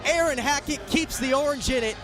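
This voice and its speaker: A man's voice: extremely high pitch, a little fast, over music, poor audio. An American man doing live radio sports play-by-play in emphatic, clipped phrases. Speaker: play-by-play commentator.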